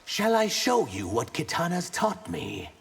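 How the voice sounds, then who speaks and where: An actor playing an earnest young male reptilian shapeshifter, speaking formally and in measured phrases in a video game. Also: fairly high pitch, wildly swooping pitch, creaky, husky, full character voice. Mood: respectful. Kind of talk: video game fight banter, dramatic voice acting.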